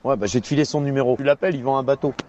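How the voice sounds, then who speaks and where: A man's voice: mid-range voice, fairly even intonation, racing, warm tone. A man on a CB radio channel, taunting another user and laughing at his own jokes. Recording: dull lo-fi CB radio with hiss.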